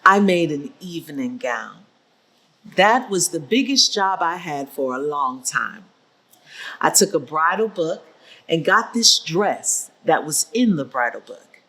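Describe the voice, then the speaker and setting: A woman's voice: low-pitched, animated, a little slow, bright, forceful tone, heavily acted, rough recording. An actress playing a proud, determined older seamstress, telling her story plainly and emphatically in a staged reading. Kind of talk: staged reading of oral history.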